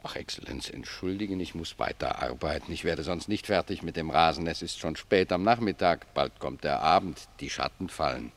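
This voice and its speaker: A man playing a humble, pious old gardener in a German radio drama, speaking reflectively and with deference to his superior. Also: very deep voice. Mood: gentle, humble. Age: older. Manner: reflective, deferential, unhurried phrasing.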